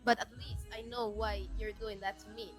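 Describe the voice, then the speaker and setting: A female podcast guest with a mid-pitched voice. She gives her opinions casually, frankly and with emphasis, over faint background music. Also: level tone, normal speed, poor audio. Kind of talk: casual chat.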